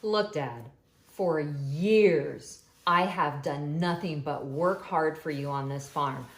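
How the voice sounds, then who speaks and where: A sulky, hard-working older brother with a grudge, low and grumbling, as a woman puts him on. He speaks in muttered, put-upon tones with a roomy echo. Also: slow. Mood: resentful. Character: sulky resentful older brother, young man.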